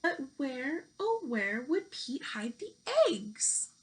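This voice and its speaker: A woman teacher reading a children's picture book aloud, speaking deliberately to young listeners. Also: high-pitched, very animated. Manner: slow, deliberate delivery addressed to young children. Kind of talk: children's storybook read-aloud.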